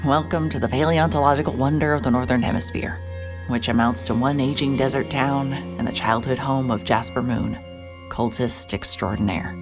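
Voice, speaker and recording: A woman with a strikingly deep, low voice narrates a noir story in first person, dry and wry. A clear music bed runs under her words. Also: steady tone, normal speed. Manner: dry, wry, world-weary. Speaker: narrator and lead character, a private investigator.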